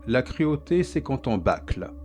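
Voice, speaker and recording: A man voicing an elegant, calm male killer who speaks softly, slowly and carefully. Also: medium pitch. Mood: calmly menacing. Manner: soft, slow and careful.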